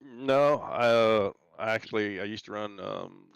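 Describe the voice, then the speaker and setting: A man's voice: lowish voice, expressive, measured pace, creaky, smooth, no echo, dull audio. A man in his fifties from Central Florida, a sim racing driver giving a hesitant, polite interview with many fillers. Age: 50s. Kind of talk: casual post-race interview.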